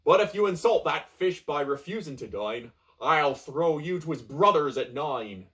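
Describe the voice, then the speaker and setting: A man voicing a fierce red-bearded male pirate with loud, blustery pirate talk. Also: fairly high pitch, animated, moderate pace.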